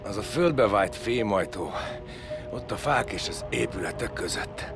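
An actor in an audio drama playing a weary, guilt-ridden, wounded celestial being, weak and breaking into breathy whispers. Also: male voice, on the low side, expressive, music in the background. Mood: strained. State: badly injured, weak.

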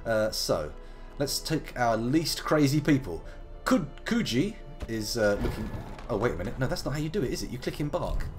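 A man in his thirties, gravelly from a slight cold, chatting playfully through a live game stream. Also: mid-range voice, very animated, moderate pace, few pauses, over music. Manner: chatty, playful, wandering asides. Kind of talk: casual live game commentary.

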